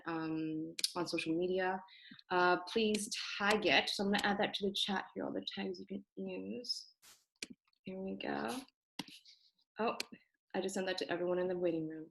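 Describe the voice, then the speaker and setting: A woman's voice: medium pitch, fairly bright tone, a little hiss or hum, poor audio. A young woman from Toronto whose first language is Cantonese, hosting a group video call from her apartment, speaking thoughtfully with many ums and self-corrections. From Toronto, Canada. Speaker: host of a community video call discussion. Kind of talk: casual, earnest introduction to an online group talk. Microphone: computer on a video call.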